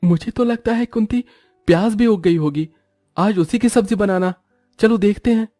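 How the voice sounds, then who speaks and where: A man voicing an excitable, good-hearted village husband and father in a cartoon story, with an exclaiming manner. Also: high-pitched, normal speed, no room sound, clean studio sound, soft background music, pitched above the performer's own voice, natural voice. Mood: hopeful.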